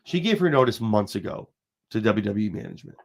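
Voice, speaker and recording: Rambling, lower-voiced man with a cold. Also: sing-song, fast talker, gravelly. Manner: rambling, emphatic, talks straight to viewers. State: has a cold, sniffling. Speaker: show host. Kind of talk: casual wrestling commentary livestream.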